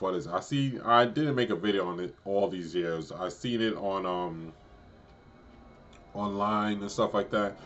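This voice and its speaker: A young man with a lowish voice talks straight to his camera, circling back through the same rhetorical questions and rephrasing as he goes. The recording is dull and lo-fi, like a cheap home mic. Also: a bit bright. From United States. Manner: repetitive, rephrases and restarts often, rhetorical questions. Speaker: vlogger. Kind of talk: casual opinion vlog monologue.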